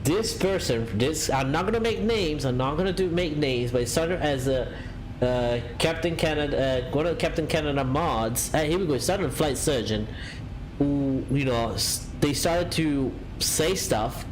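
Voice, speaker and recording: A man's voice: a little high, normal speed, slight background noise, rough recording. Man chatting on a live stream. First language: Italian. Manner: rambling, many fillers and restarts, reads out chat. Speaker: flight simulator streamer. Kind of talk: casual live-stream chat.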